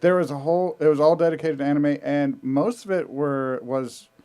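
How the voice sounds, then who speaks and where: A man's voice: on the high side, moderate pace, mellow, clear, resonant tone. A man co-hosting a podcast who chats casually, with an emphatic, wry delivery. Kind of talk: casual chat.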